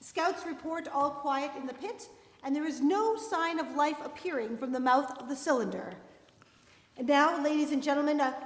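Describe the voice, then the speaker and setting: A high female voice rings through a reverberant hall. A woman plays a formal radio announcer reading news bulletins, slightly halting, in a live radio drama. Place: live performance in a church. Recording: echoey church hall live performance.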